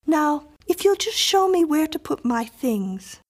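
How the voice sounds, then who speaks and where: A woman's voice: high voice, big pitch swings, measured pace, voice pushed up. A woman voicing an eerie, self-assured little girl in an audiobook, sweetly imperious and precociously polite. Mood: pleased, presumptuous. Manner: composed, precociously polite, presumptuous. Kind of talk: audiobook character acting.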